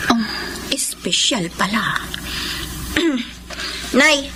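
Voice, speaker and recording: A woman's voice: fairly high pitch, expressive, extremely slow, noisy background. A woman playing a jealous, lovesick young woman in a radio drama, plaintive and emotional. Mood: sulking. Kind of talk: Filipino radio drama acting.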